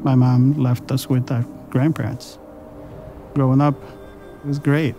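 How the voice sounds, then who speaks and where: A middle-aged man from El Salvador gives a quiet, halting testimony for a documentary, with a steady mid-range voice. Loud music plays beneath his words throughout. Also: mid-range voice. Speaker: church member sharing his testimony.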